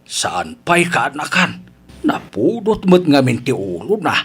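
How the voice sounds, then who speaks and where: A man's voice: mid-range voice, wildly swooping pitch, slow, fairly bright tone, slightly rough, full character voice. A man playing an eager, smitten older uncle in a comedy radio drama. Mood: sheepish, defensive.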